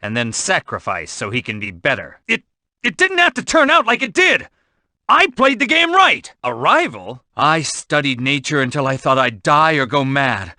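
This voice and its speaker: An earnest young male apprentice, bitter and aggrieved at his rival. His voice is bright and tense, with highly animated pitch. It is dramatic video game acting with an emphatic delivery, recorded very dry. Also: normal speed, no room sound, full character voice. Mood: indignant, aggrieved.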